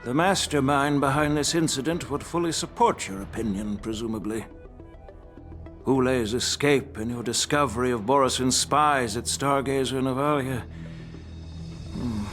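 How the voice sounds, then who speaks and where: An actor playing a measured, shrewd old grandfather who is a general in a fantasy video game, speaking formally and with authority. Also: mid-range voice, fairly bright tone, close to an everyday voice. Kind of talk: dramatic video game voice acting.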